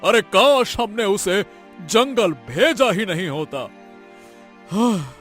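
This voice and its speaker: A man playing a guilt-ridden, sorrowful king in an animated story, speaking in a regal, lamenting manner. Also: high-pitched, takes it slowly, soft background music, full character voice. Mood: remorseful.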